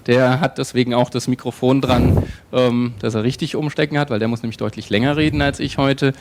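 A man moderating the closing session of a conference, speaking in a friendly, chatty way with frequent fillers and restarts. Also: medium pitch. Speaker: event moderator. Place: conference hall.

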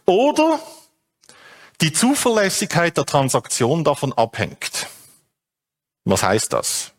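A man's voice: a little high, wildly swooping pitch, slow. A man explains a legal and technical topic step by step, with frequent hesitations. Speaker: speaker on data protection. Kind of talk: explanatory talk.

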